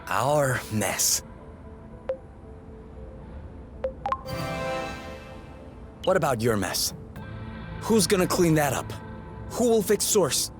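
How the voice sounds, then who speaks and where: A young rebel with a fairly high voice, blunt and challenging in a game drama. He speaks skeptically over background music. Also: big pitch swings, measured pace. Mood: defiant. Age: young adult. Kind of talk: video game dramatic voice acting.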